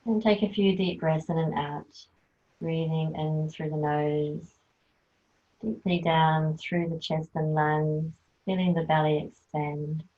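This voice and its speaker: A woman guides a yoga nidra relaxation in a soft, soothing voice. She speaks slowly, low and even, with barely any rise or fall. The sound is thin and tinny, as if heard over a phone. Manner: slow, soft, soothing instruction.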